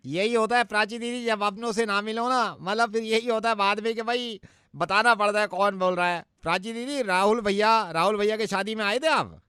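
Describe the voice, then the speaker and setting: A man puts on a high, clear-voiced young guy for a radio prank call. He is cheeky and overfamiliar, needling and bossing like a pest, in a close dry sound. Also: normal speed, bright, forceful tone, no room sound. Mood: chatty, familiar. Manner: needling, bossy, falsely familiar. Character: young man, cheeky acquaintance pestering and threatening a woman.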